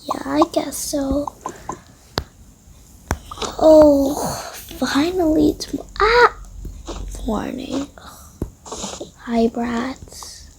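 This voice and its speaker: A woman's voice: high-pitched, very slow, creaky, pauses often, slight background noise, poor audio. A female voice improvising a roleplay with toy game characters, slangy and drawling, with sighs. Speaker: roleplay video creator voicing toy characters.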